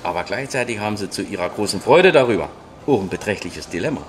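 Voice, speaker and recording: A man's voice: lowish voice, expressive, fast talker, a little hiss or hum, natural voice. An actor playing an opinionated interviewee who explains things in a didactic, colloquial way, checking that he is followed. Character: opinionated male interviewee lecturing on moral dilemmas.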